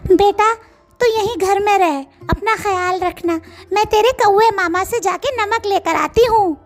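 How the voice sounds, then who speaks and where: A woman's voice: very high-pitched, unhurried. A woman voicing a kind, motherly myna bird in a children's cartoon, with a humble, caring, sisterly way of talking. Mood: fretful, caring. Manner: polite, pleading, sisterly way of talking.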